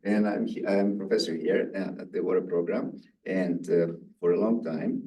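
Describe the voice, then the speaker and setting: Mellow-voiced professor from Greece. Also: male voice, low voice, normal speed, recorded from a distance, rough recording. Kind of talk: casual self-introduction.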